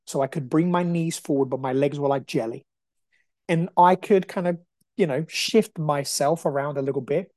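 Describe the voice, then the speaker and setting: A man's voice: on the high side, mellow, no room sound, clean studio sound. A man in his forties from Brazil, hosting a podcast with an emphatic, repetitive, motivational way of talking. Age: 40s. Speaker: podcast host. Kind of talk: motivational monologue.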